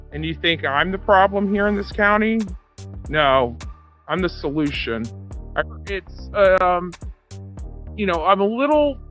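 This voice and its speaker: A woman's voice: fairly low pitch, lo-fi sound. A woman giving a rambling, unscripted true crime commentary in a self-assured, boastful, argumentative way.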